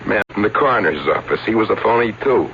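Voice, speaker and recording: A man's voice: fairly low pitch, lively intonation, fast, vocal fry. A man playing a defensive showbiz agent explaining a publicity stunt in an old radio drama. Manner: earnest, defensive, showman's patter. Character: fast-talking showbiz agent, defensive and sheepish. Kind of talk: 1970s radio drama acting. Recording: dull old radio broadcast with hiss.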